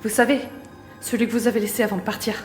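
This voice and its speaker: A woman's voice: a little high, level tone, rapid-fire, a little mellow, close to an everyday voice. A woman playing a determined, earnest runaway in a French sci-fi audio drama. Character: determined, earnest female runaway seeking her lost father. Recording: roomy echo, music behind.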